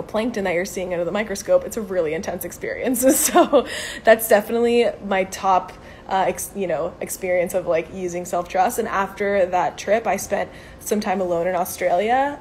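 A woman's voice: mid-range voice, darkish tone, slight background noise. Upbeat woman chatting on a phone live stream. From Venezuela. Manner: chatty, upbeat, many fillers like "um" and "like". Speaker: live stream host answering viewer questions. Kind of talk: casual live chat. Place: outdoors by a brick building. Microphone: phone camera at arm's length.

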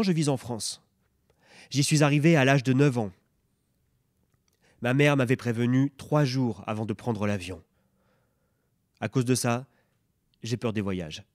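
Man in his thirties, calm even reading of literary prose, dry close sound. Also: medium pitch, no room sound. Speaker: reader. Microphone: stand mic.

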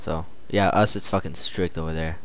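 A man's voice: rumbling low, steady tone, moderate pace, clean tone. A man from Canada in a casual group voice call, loose and giggly, heard through a computer mic. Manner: laid-back, giggly, loose talk. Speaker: Skype call participant.

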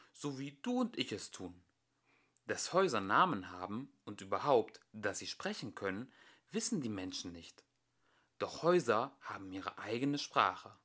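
A young man narrating a children's audiobook in an expressive, theatrical way, addressing his listeners directly. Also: medium pitch. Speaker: amateur audiobook reader.

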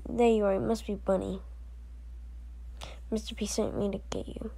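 A woman doing amateur scripted character dialogue for an animated story, with a drawn-out, unhurried delivery. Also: medium pitch, creaky, slightly rough.

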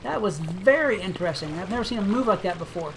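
A game video creator giving casual gameplay commentary, thinking aloud in a relaxed, chatty way. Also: medium pitch, quick pace, noisy background. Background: game music.

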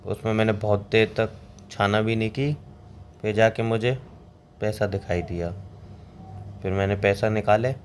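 A man's voice: on the low side, steady tone, normal speed, long pauses, lightly acted. A young man playing a cheeky, broke boyfriend in a phone-call drama, argumentative and colloquial as he justifies himself. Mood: teasing storytelling. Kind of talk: scripted comic phone-call drama between a couple. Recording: clear background ambient noise.

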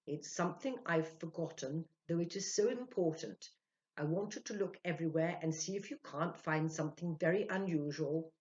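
Storybook ghost of a young man, played by a woman: fretful, trembling, urgent, highly animated delivery. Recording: dull, lo-fi sound.

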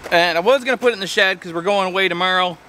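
An easygoing young man with a high voice talks loosely, fillers and all, as he walks a camera through his motorcycle project outdoors. The camera mic picks him up over loud engine and traffic noise. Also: rapid-fire, rough recording. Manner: easygoing, joking, thinks aloud with fillers. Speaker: vlogger host. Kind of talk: casual vlog chat. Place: outdoors by a garage and gravel driveway.

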